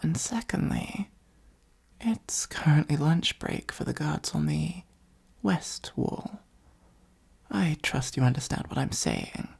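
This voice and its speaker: A woman playing a possessive supervillain who is secretly fond of a hero, in an intimate ASMR roleplay, speaking softly and breathily with an earnest, gently wry delivery. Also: deep voice, lively intonation, takes it slowly, exaggerated voice. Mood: relieved, playful. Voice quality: soft, husky, breathy, often near whisper.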